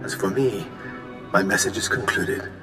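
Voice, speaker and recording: Echoing, serene ancient hologram sage. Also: male voice, on the low side. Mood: solemn, serene. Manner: measured, wise, solemn. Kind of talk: video game cutscene acting. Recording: echoing voice over game music.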